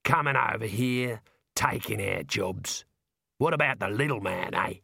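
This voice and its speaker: A man's voice: fairly low pitch, lively intonation, measured pace, gravelly, pushed and bright, breathy edge, no echo, studio quality, exaggerated voice. A man voicing a shifty, pushy male street hawker, talking in a wheedling sales patter. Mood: indignant. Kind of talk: audiobook character reading.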